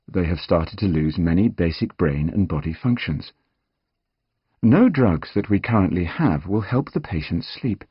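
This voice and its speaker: A man reading scripted nonfiction as an audiobook narrator, measured and articulate, with dramatic emphasis. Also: low-pitched, husky, dry recording, highs cut off.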